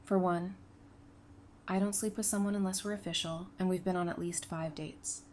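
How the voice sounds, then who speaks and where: A woman's voice: fairly low pitch, fairly even intonation, moderate pace, a little mellow, a little hiss or hum, close to an everyday voice. An actress playing a sensible, affectionate, plain-spoken woman in an audio drama. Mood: frank. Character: frank, teasing, sensible woman, affectionate with her sweetheart.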